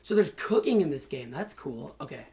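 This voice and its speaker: Hoarse young man doing game commentary. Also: a little high, moderate pace. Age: young adult. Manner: excitable, chatty, many fillers. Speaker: gaming channel host. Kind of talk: let's play commentary.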